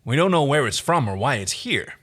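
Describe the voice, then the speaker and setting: A man's voice: mid-range voice, sing-song, fairly quick, fairly bright tone. A man voicing a puzzled, wisecracking human adventurer in an amateur fan fiction reading. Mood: curious.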